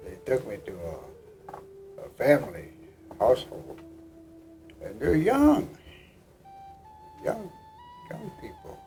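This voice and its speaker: An older man with a gravelly voice telling a story slowly in an interview. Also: mid-range voice, huge pitch swings, over music, poor audio.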